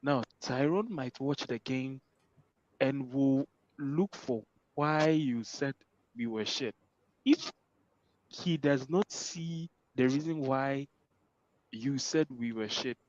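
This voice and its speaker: Calm explaining man, dry muffled line. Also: medium pitch, takes it slowly, long pauses, dry recording. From Ghana. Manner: calm, explanatory, checks the listener understands. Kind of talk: casual debate.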